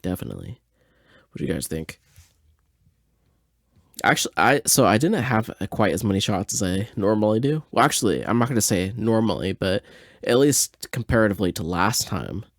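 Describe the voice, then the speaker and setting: A man's voice: fairly low pitch, expressive, fairly quick, dry recording, studio quality. A man hosting a podcast, chatting casually and reacting in a hesitant, rambling way. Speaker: podcast host.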